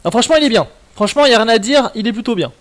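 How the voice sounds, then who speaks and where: A man's voice: very high voice, expressive, racing. A man giving casual, slangy, mildly sweary commentary as a video game streamer. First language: French.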